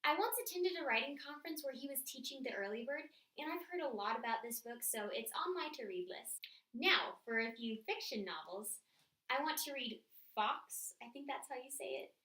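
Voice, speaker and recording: High-voiced woman, echoey distant mic. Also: lively intonation, a little fast, pushed and bright. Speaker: book vlogger hosting her channel. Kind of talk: scripted-sounding book tag chat to camera. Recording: roomy echo, distant microphone.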